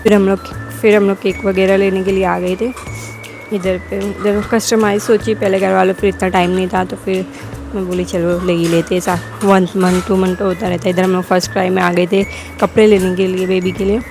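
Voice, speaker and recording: Hoarse young woman narrating a vlog. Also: mid-range voice, level tone, brisk, over music. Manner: rambling, self-correcting narration. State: sore throat, coughing. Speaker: vlogger. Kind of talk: casual vlog voice-over.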